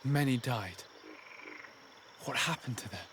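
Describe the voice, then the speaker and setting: An actor playing a polite, earnest young envoy in a fantasy video game, with a measured, questioning manner. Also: male voice, medium pitch, a bit bright, slight background noise. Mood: probing. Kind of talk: video game character acting.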